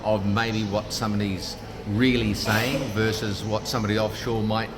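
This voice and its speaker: A middle-aged man with a New Zealand accent, a business founder making an upbeat, punchy pitch in a casual interview. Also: level tone, normal speed, noisy background. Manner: upbeat, punchy salesman delivery. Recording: background music, trade fair.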